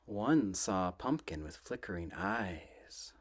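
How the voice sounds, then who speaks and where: A soft-spoken man reading children's books aloud in a gentle, playful ASMR style, often dropping close to a whisper. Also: low voice, animated. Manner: gentle, drawn-out, playful storybook delivery.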